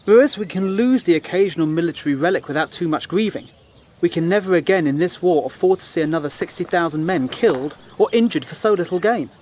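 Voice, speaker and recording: An actor playing a principled, sceptical British war correspondent in a First World War audio drama, earnest and articulate with a dryly challenging manner. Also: male voice, high voice, expressive, fast talker, darkish tone. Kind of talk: period audio drama acting.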